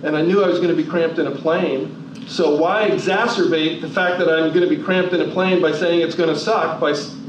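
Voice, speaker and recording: A man's voice: on the high side, fairly even intonation, brisk, hardly any pauses. A man in his forties from New Jersey, giving a motivational talk with emphatic rhetorical questions and shifting pace. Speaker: motivational speaker. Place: large hall. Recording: echoey, distant, dull hall recording with noise.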